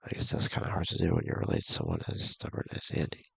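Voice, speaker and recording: A man with a gravelly, husky voice casually reading a visual novel aloud, halting and mumbling with offhand asides. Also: extremely low pitch, very animated, fairly bright tone, dry recording. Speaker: video game streamer.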